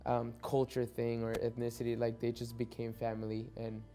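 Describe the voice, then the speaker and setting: Young male soccer player from Mexico, Spanish first language, dark even voice, faint music underneath. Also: mid-range voice, normal speed. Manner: reflective, repeats words as he restarts. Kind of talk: documentary interview.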